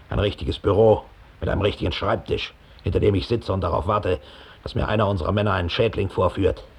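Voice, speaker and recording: An actor playing a cold, self-satisfied middle-aged former Gestapo officer in a measured, contemptuous radio play monologue. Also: male voice, deep voice, full character voice. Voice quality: gravelly, husky. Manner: measured, coldly matter-of-fact, contemptuous.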